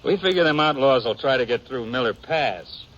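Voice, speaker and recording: An actor playing an eager young cowboy in a 1950s radio western, a smooth talker with a folksy, hesitant American Western drawl. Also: male voice, medium pitch, lively intonation, moderate pace, a bit bright, lightly acted. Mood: probing. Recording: muffled, noisy 1950s radio recording.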